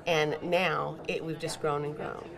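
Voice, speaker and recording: A woman's voice: low voice, flat delivery, unhurried, vocal fry. An older woman, a charity director, speaking in a news interview. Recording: busy registration room chatter.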